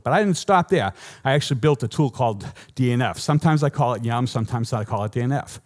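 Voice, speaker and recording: A man with a Boston accent giving a technical talk at a conference, joking with the audience and often correcting himself mid-sentence. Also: mid-range voice. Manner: lively, joking, rambling with self-corrections. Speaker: conference speaker. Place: conference hall before an audience.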